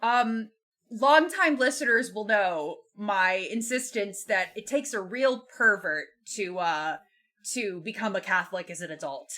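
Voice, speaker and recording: A woman's voice: mid-range voice, measured pace, bright, forceful tone. A woman hosting a podcast, talking casually about books in a hesitant, conversational, joking way. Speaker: podcast host. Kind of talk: casual book discussion.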